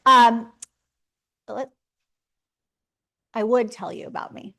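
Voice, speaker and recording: A woman in her forties presents to a seminar room with a big, carrying voice that sits a little high. She talks in a friendly, chatty way, with casual asides between points. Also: steady tone, takes it slowly, vocal fry, bright, forceful tone. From Los Angeles. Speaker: psychologist presenter.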